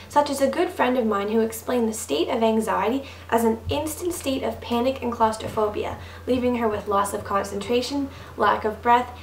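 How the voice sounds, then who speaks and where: Young woman reciting slam poetry, roomy distant recording. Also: fairly high pitch. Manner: earnest, emphatic, rhythmic rhyming delivery. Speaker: slam poet. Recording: roomy, distant mic, faint noise.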